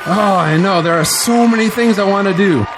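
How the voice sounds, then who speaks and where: An actor playing an exuberant, grandiose newly elected politician in an audio drama, with an excitable, speechifying manner. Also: male voice, high voice, normal speed, crowd noise, noisy background, lightly acted. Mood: elated.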